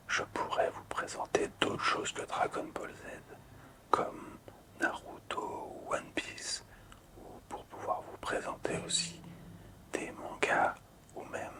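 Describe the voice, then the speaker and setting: Whispering man, soft ASMR host. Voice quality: breathy, unvoiced whisper. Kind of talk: whispered ASMR presentation of collectible cards.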